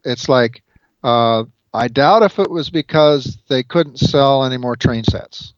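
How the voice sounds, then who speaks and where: A man on a podcast, explaining his magazine plans in a halting, filler-heavy way. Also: medium pitch, dry recording, muffled recording. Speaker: magazine publisher guest. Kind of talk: casual podcast chat.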